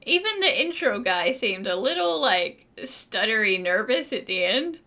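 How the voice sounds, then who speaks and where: A woman's voice: a little high, big pitch swings, measured pace, bright, forceful tone. A woman giving playful, sarcastic gameplay commentary, joking along as she voices her own side of the dialogue. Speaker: gaming channel host.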